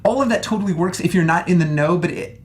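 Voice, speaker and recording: A man's voice: high voice, quick pace. A man chatting casually on a film review panel, rambling through his points, restarting often and checking in for agreement. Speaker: talk show panelist.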